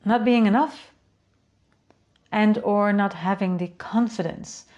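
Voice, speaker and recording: A woman's voice: medium pitch, slow, a little mellow, highs cut off. A woman with a Dutch accent, a native Dutch speaker, talking in a reflective way to her creative community and often asking rhetorical questions. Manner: thoughtful, asks rhetorical questions, adds "right?". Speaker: artist and community host. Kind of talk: reflective solo talk.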